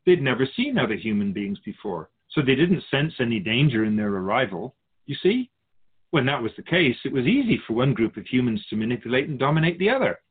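A man's voice: medium pitch, very animated, brisk, husky, narrow, tinny audio. A man playing a wise, wry otherworldly spirit guide in a radio drama, teaching in formal, didactic phrases. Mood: measured, instructive. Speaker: spirit teacher answering questions. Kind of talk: scripted radio drama dialogue, expository.

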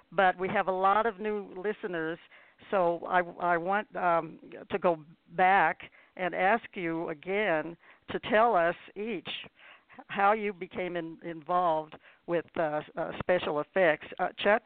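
A woman hosting a radio interview show in a conversational style, with deliberate, halting phrasing. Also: lowish voice, no echo. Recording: phone-like narrow-band audio.

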